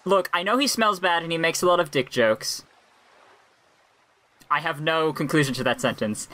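A man's voice: a little high, moderate pace, bright, forceful tone. A man voicing a plucky, bossy young heroine in an improvised comedy fan dub, emphatic and self-mocking.

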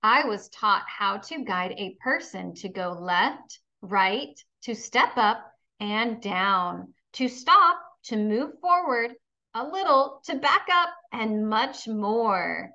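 Female kindergarten teacher reading to kids, highish voice, encouraging, bit muffled recording. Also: expressive, slow pace, pushed and bright. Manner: encouraging, instructional, addressing young pupils with questions. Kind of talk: children's read-aloud lesson.

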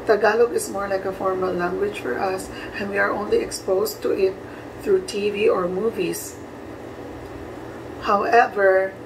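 A young adult woman from Cebu with a Cebuano Filipino accent, a native Cebuano speaker, giving a prepared personal vlog talk to camera. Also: mid-range voice. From Cebu, Philippines. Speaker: vlogger. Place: small plain room indoors. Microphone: phone camera a couple of metres away. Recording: distant phone mic, noisy room.

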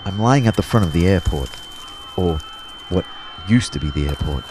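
An actor playing a wry, lovestruck young personal trainer who narrates an audio drama in a measured, understated way. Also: male voice, low voice, animated, noisy background. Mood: dazed, tense.

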